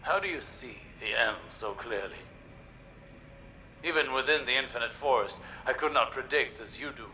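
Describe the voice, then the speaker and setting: An actor playing an earnest, scholarly older male warlock in a video game, speaking in a deliberate, philosophical way. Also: medium pitch, pushed and bright. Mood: musing. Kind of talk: dramatic video game dialogue.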